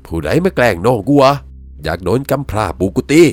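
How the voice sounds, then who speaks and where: A man's voice: lowish voice, very animated, drawn-out words, dark tone, no room sound, soft background music, pitched above the performer's own voice, exaggerated voice. A man voicing a brave, protective older-brother youth with a northeastern Thai accent, firm and defiant, in a told horror story. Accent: northeastern Thai (Isan).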